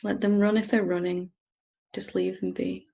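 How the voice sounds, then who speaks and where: A woman teacher leading a guided meditation in a gentle, unhurried manner with long pauses. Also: on the low side, very animated, vocal fry, muffled recording. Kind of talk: guided meditation, softly instructing.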